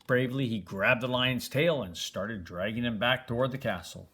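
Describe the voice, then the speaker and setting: A man's voice: mid-range voice, moderate pace, pushed and bright. Older man reading a storybook aloud. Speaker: grandfather reading a children's book aloud.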